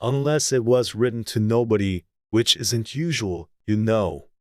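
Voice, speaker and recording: A pompous storybook king, voiced by a narrator, emphatic and blustering yet muddled. The voice sits at a middling pitch and is recorded very dry. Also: unhurried, a little mellow, no echo, close to an everyday voice. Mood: self-satisfied.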